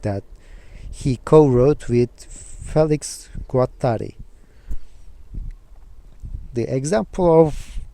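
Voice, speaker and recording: Young man, Turkish accent, native Turkish speaker, very dark mellow tone, careful unhurried reading aloud. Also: mid-range voice, expressive, vocal fry. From Turkey. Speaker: vlogger reading a book aloud. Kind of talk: casual talk to camera and reading aloud.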